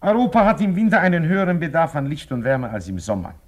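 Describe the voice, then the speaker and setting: An actor playing an impassioned expert giving an emphatic, didactic address in a 1950s radio drama. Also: male voice, a little high, very animated, fast talker. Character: impassioned male expert lecturing on European electricity.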